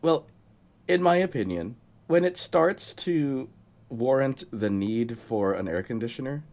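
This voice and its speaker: A man's voice: mid-range voice, lively intonation, measured pace, creaky, darkish tone. A young man co-hosting a casual podcast, talking through a cold in a rambling way and chuckling often. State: has a cold. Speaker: podcast co-host.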